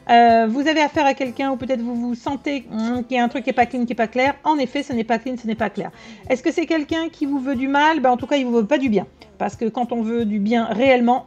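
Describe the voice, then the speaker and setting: A woman's voice: on the high side, a little mellow. Blunt, slangy woman medium. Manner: blunt, slangy, rapid asides to the audience. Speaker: tarot and psychic medium. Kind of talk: casual channeled reading to viewers. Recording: dull lo-fi sound with faint music.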